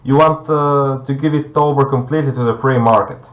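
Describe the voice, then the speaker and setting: A man speaks as a debate guest at a middling pitch. His delivery is halting as he searches for words, and the room around him sounds quite echoey. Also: fairly even intonation. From Bulgaria. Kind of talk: conversational debate.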